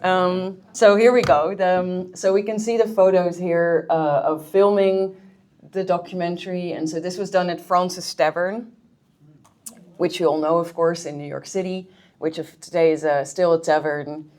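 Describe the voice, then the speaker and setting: A woman's voice: fairly low pitch, fairly even intonation, moderate pace, a little mellow. A woman with a Dutch accent giving a friendly, chatty history talk to a library audience as a guest historian. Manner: friendly, chatty, with filler ums and restarts. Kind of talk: public history talk with slides. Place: public library meeting room.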